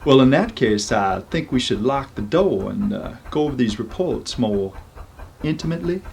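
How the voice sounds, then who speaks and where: A man's voice: medium pitch, animated, moderate pace, gravelly, darkish tone, slight background noise. A man playing a flirtatious geologist and secret lover in an audio drama, teasing and suggestive. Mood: flirty.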